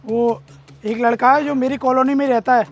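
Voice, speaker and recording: A man's voice: extremely high pitch, steady tone, normal speed, soft background music. A man acting an eager, helpful local bystander who informs on others in an amateur skit. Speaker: actor in a moral-story video.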